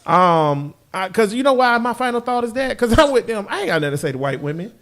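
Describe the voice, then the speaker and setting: A man's voice: high-pitched, very animated, fast talker, fairly bright tone. A man hosting a podcast in casual, slangy, profane banter, brash and teasing. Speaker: podcast host.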